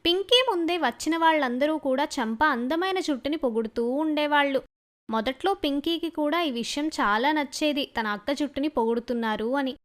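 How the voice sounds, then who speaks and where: Female narrator for children's stories, high-pitched, scripted delivery. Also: moderate pace.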